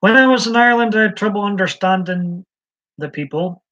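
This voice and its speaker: Man from scotland, rambling live-stream chat, fairly high voice, dull lo-fi audio. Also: fairly quick, pushed and bright. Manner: rambling, hesitant, frequent ums.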